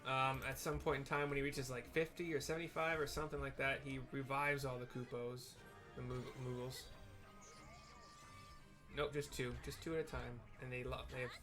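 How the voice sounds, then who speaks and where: A man's voice: medium pitch, level tone, moderate pace, over music. A man giving casual, informal live commentary on his own video game playthrough, thinking aloud as he goes. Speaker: gamer narrating own playthrough.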